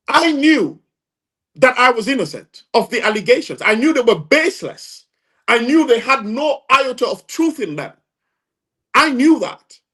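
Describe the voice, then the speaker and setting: Gambian male interviewee, high-pitched and forceful, insistent delivery with repeated phrases for emphasis. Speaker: interview guest, lawyer. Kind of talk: impassioned interview testimony.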